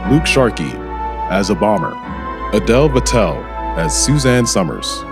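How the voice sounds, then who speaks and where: A man hosts and narrates a scripted radio drama like a theatrical announcer. His medium-pitched voice moves in lively swings over a clear music bed. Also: a little slow. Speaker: host and narrator.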